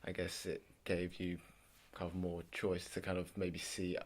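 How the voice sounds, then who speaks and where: A man interviewing, asking measured questions that sum up what he has heard. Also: deep voice, monotone.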